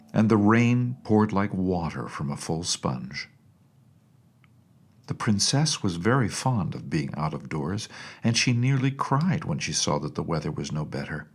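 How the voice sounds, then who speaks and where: A man reading a classic children's story as an audiobook narrator, in a measured and expressive way. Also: deep voice, husky.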